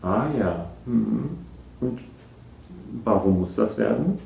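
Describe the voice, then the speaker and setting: A man's voice: on the low side, slow pace, gravelly, dark tone, roomy sound, a little hiss or hum, heavily acted. An actor playing a psychologist in a naturalistic radio drama, hesitantly probing and repeating back what he is told. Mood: mildly skeptical. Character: evasive male psychologist, soft, probing, echoing every answer. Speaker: psychologist conducting an assessment.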